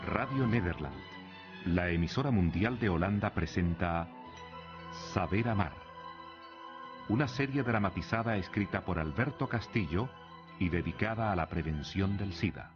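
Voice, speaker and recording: A man's voice: low voice, lively intonation, normal speed. A man presenting a radio drama series in a scripted announcer style. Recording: dull archival radio recording, clear music.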